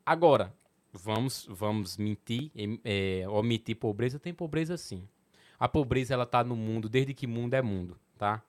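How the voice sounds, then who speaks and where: A young man from Petrolina with a sertão Pernambuco accent, a comedian chatting on a podcast, animated, laughing often and swearing casually. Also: medium pitch, normal speed. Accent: Pernambuco sertão, São Francisco valley. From Petrolina, Pernambuco, Brazil.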